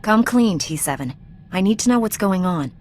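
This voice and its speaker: A woman playing an earnest, principled Jedi knight in a video game, a calm leader who speaks in a measured, sincere way. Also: medium pitch, very noisy, natural voice. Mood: concerned. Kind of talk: video game character acting.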